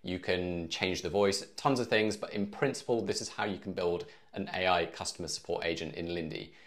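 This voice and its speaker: Very deep-voiced man, casual tutorial. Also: a little fast. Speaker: tutorial presenter. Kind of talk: casual step-by-step software tutorial. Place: small home office, indoors.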